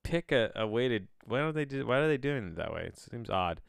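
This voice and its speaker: A man hosting a solo podcast, chatting in a rambling, halting way, often backtracking mid-sentence with a bemused, self-deprecating manner. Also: medium pitch, big pitch swings, creaky, smooth, no echo. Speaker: podcast host.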